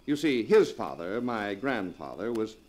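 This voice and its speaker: A man's voice: fairly low pitch, very animated, a little slow, a little mellow. A man acting a suave, sardonic husband from a sinister family in a 1940s radio drama, theatrical and mockingly casual. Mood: sardonic, amused.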